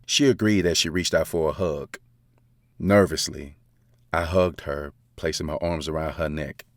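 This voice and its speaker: A man's voice: extremely low pitch, fairly even intonation, normal speed, no room sound, clean studio sound. A man narrating a first-person romance story in a casual, confiding, slangy way. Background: faint background music.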